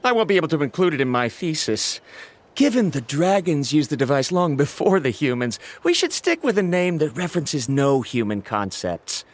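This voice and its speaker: Pedantic young scholar, high voice, wildly swinging pitch, game music. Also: male voice, very animated, normal speed. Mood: lecturing. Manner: pedantic, lecturing. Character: eager young male scholar, fond of his theories. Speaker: researcher. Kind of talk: video game voice acting.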